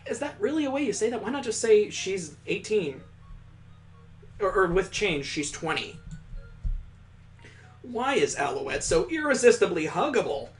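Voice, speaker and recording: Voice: mid-range voice, big pitch swings, measured pace, pauses often. A let's-play host reading a visual novel aloud in a dramatic narrating style, breaking off into wry, incredulous, casual asides.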